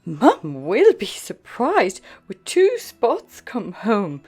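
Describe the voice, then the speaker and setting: A woman's voice: medium pitch, huge pitch swings, very slow, darkish tone, slightly rough, voice pushed up, heavily acted. A woman voicing an eager, cheerful young male puppy from a children's storybook. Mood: pleased. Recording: music behind the voice.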